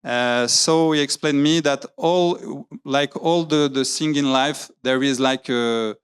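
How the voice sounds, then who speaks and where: A man's voice: medium pitch, takes it slowly, clear, resonant tone. Hesitant man giving a talk. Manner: hesitant, searching for English words, many fillers and restarts. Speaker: graphic novelist giving a talk. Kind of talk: public talk with slides. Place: lecture venue.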